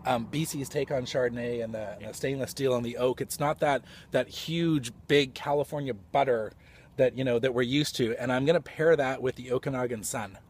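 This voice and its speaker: A man in his forties hosting a casual winery interview and tasting on an outdoor patio, upbeat and quick to say fantastic. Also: medium pitch, slightly rough. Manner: upbeat, says fantastic a lot. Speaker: wine blog host.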